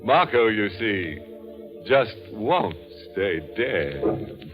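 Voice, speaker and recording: Sardonic, mock-friendly crypt host, slow delivery; muffled old radio recording, faint music. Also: male voice, fairly low pitch, big pitch swings, exaggerated voice. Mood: macabre, wry. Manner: macabre, mock-friendly, punning. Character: ghoulish, wry horror-show host who relishes death. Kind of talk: 1940s radio horror hosting. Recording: dull 1940s radio recording, music behind.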